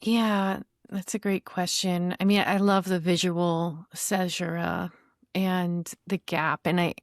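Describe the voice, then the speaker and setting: A woman's voice: on the low side, flat delivery, takes it slowly, no room sound, studio quality. A woman speaking as a guest poet in casual literary conversation, with thoughtful, informal, hesitant phrasing.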